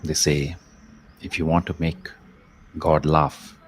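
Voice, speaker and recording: Male meditation guide, extremely low voice, contemplative spoken-word delivery with long pauses, faint music underneath. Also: level tone. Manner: measured, contemplative, with long pauses and softly trailing phrases.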